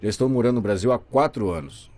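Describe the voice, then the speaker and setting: A man voicing a deaf guest's signing in the first person, telling his story in a lively, expressive way. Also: mid-range voice, quick pace. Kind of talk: simultaneous voice interpreting, first person.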